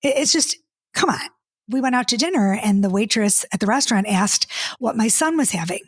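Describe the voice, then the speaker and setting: A woman in her fifties hosts her podcast in a loose, exasperated, chatty way, stumbling over restarts as she reads comments aloud and answers them. Her voice sits a little high and carries a slight husky edge. The recording is dry and close, with no room sound. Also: expressive, a little fast, dry recording. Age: 50s. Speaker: podcast host and dating coach. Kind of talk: casual solo podcast chat.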